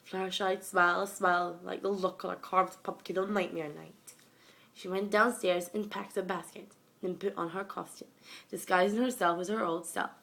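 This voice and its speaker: A woman's voice: on the low side, fairly even intonation, normal speed, vocal fry, fairly bright tone. A young woman reading a scripted story aloud in a dramatic, amateur way, recorded at home on a phone. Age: young adult or younger, school age. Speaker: amateur fan fiction reader.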